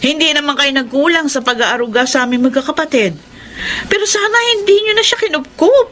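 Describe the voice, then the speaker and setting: A woman's voice: high-pitched, animated, brisk, sharp tone, a little hiss or hum. A woman playing a blunt, resentful grown daughter with an emphatic, scornful delivery in a radio drama. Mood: bitter, scolding. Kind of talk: Tagalog radio drama acting.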